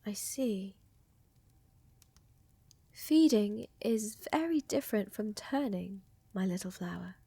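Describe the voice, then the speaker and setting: A woman playing a flirtatious, gently dominant vampire countess in an intimate ASMR roleplay, breathy and often whispering, with teasing, coaxing, formal wording. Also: medium pitch, lively intonation, slow pace, long pauses, no echo, exaggerated voice. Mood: concerned, serious.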